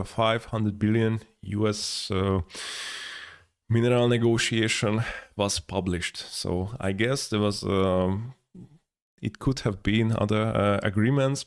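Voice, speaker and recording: A man teaches from a map in a lowish voice. He speaks in halting bursts, filling pauses with "uh" and correcting himself as he goes. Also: creaky. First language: Hungarian. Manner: halting, many fillers and self-corrections. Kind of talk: explaining over a map.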